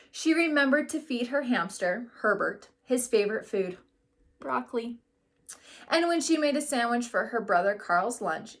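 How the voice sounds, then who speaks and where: A woman teaching young children through read-aloud storytelling. Her voice sits fairly high and she takes her time, with an expressive delivery that brings out the characters. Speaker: school educator reading to children.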